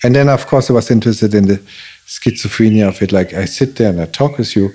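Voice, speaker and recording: A man who grew up in Belgium, a native German speaker, talking casually and telling stories in a halting way, with many restarts. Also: lowish voice, a little hiss or hum. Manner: halting, restarts often, animated storytelling. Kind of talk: casual conversation.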